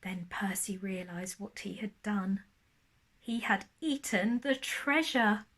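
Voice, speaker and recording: A woman narrating a children's storybook like a primary school teacher, expressive and lingering on key words. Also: medium pitch, slow.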